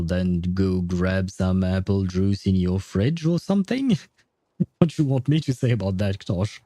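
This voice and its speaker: Mellow male game streamer, dry sound. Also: on the low side, no room sound. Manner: rambling, self-deprecating banter with viewers. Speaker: video game livestreamer. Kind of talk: casual livestream chat while gaming.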